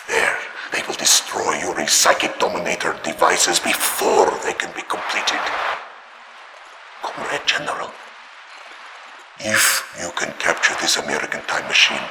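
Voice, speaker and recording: An actor playing a grave, urgent Soviet leader with a Russian accent, speaking solemnly and dramatically over a transmission in a game. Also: male voice, sits low, creaky, heavily acted. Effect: encrypted radio transmission. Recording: noisy, echoing transmission.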